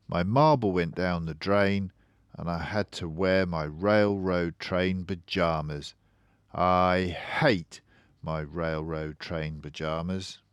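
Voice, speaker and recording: Older man reading slowly with lively pitch. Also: smooth, dry recording, studio quality. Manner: measured, dramatic pauses and emphasis. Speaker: storyteller. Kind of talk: expressive picture-book read-aloud.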